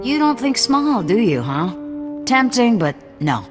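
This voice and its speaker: An actress playing a hard-nosed, distrustful resistance leader who gives clipped, commanding orders. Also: female voice, a little high, sing-song, measured pace, music in the background. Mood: stern. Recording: echoey game cutscene with loud score.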